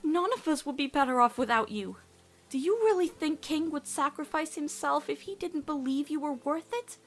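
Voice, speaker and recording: A woman's voice: high voice, normal speed, lightly acted. A woman voicing a devoted, earnest teenage girl in an emotional cartoon comic dub, pleading and faltering as she speaks. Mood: firm, pleading. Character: devoted, earnest teenage girl, fearful of losing loved ones.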